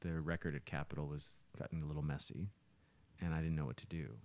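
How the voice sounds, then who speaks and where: A man in his fifties telling stories casually on a podcast, halting and emphatic as he goes. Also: a bass voice, steady tone, darkish tone. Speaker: musician and podcast co-host. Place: small home living room.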